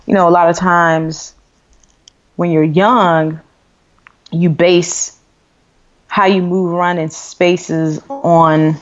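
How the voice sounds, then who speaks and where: A woman in her thirties, born in Nigeria, speaks candidly and easily as an interview guest. She uses a lot of fillers, and her voice sits fairly low. The recording sounds dull and lo-fi. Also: slow, long pauses. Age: 30s. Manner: candid, relaxed, frequent fillers. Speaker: guest author and blogger. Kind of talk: interview conversation.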